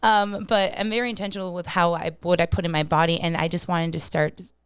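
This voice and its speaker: A young woman from Minnesota with a Minnesotan accent, chatting as a podcast guest, full of fillers and quick laughs. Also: fairly low pitch, fairly even intonation, clean tone, dry recording, clean studio sound. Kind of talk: casual podcast chat.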